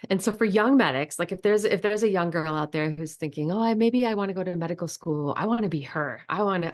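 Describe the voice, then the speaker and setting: A woman hosting an interview, asking questions with effusive praise and frequent restarts. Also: low voice. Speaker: podcast host.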